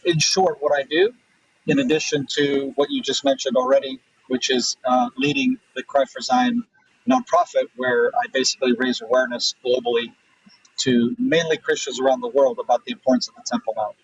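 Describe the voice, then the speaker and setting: Husky man on a distant, dull line. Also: mid-range voice, expressive. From Israel. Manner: thoughtful, pauses with fillers and restarts. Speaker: guest. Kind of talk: conversational interview answers. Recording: distant, dull remote audio.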